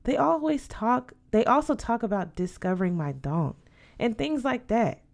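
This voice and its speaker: A woman's voice: a little high, animated, normal speed, gravelly, darkish tone, voice pushed up. A woman voicing an earnest, polite teenage schoolgirl who questions her elders in a storybook. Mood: puzzled, insistent.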